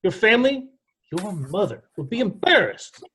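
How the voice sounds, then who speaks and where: A man plays a stern country father with a short temper in a stage drama. The delivery is animated, rising and falling widely. It is an amateur read on a video call. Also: sits high, moderate pace, husky, exaggerated voice. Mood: angry, scolding. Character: Tom, stern hot-tempered middle-aged country father. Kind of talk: amateur play table read.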